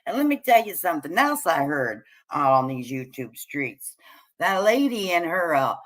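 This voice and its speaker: A woman's voice: sits low, very animated, moderate pace, fairly bright tone. A woman hosting a casual gossip livestream in a sassy, folksy way, with slang and pet names. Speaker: YouTube live stream host.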